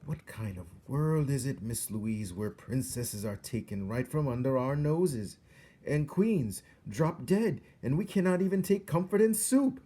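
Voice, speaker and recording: A man voicing a ferocious, despairing woman cook in a storybook, shouting and wailing with a strained high voice. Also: sing-song, unhurried. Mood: despairing lament. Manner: shouting, sobbing, dramatic.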